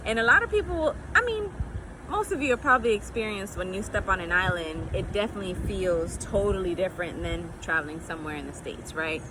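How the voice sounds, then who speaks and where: A woman's voice: medium pitch, brisk. A young woman from Connecticut vlogging casually on an apartment rooftop, upbeat and chatty with frequent ums. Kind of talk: casual vlog talk to camera. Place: outdoors on an apartment building roof. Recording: outdoor street noise, loud. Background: loud urban noise, traffic and animals.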